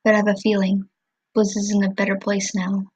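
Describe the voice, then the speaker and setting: A woman's voice: medium pitch, completely flat delivery, fairly quick, darkish tone, husky, lo-fi sound, close to an everyday voice. A woman voicing an earnest, caring male hero in a dramatic fan comic dub. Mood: somber, reflective. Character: dimension-hopping artist hero, anxious and caring, male.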